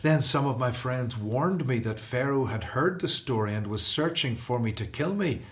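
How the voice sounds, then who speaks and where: An actor playing an earnest, resolute fugitive prince of ancient Egypt in a radio drama. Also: male voice, medium pitch, expressive, normal speed, close to an everyday voice. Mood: anxious, confiding.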